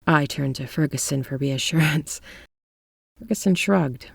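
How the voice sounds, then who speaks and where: A man reads a horror story in the first person with suspense. His pace is slow and deliberate, his voice husky, and the recording is close and dry. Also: on the high side, no echo. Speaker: audiobook narrator.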